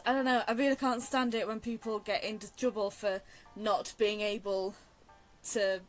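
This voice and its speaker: A young woman streamer talks casually over a game. Her voice is a little high, and she thinks aloud in an earnest, hesitant way. Soft game music sits in the background. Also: poor audio. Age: young adult. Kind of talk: casual rambling game commentary. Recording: faint game music behind.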